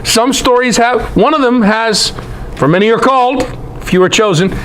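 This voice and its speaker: A man's voice: very high-pitched, normal speed, a bit bright, a little hiss or hum, poor audio. A man giving an informal, irreverent scripture lecture in an emphatic way, often putting rhetorical questions to his listeners. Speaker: Bible teacher, presenter.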